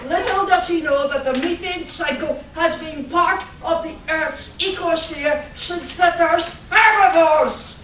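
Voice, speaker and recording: A woman's voice: very high-pitched, measured pace, few pauses, a bit bright. A woman playing a scornful, haughty villain, a scheming weather scientist in a comic radio play. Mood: contemptuous. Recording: echoey room, far mic, faint noise.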